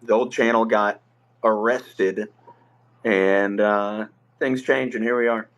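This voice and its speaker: A young man hosting a livestream, telling stories in a relaxed, rambling way with filler words, his voice a bit rough. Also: lowish voice, takes it slowly, lo-fi sound. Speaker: livestream host. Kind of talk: casual livestream chat.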